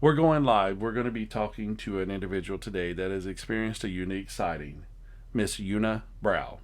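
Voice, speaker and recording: A comedy host playing a showy, formal breaking-news anchor with deliberate announcer delivery in a parody broadcast. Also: deep voice, voice pushed down, natural voice. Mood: mock-serious.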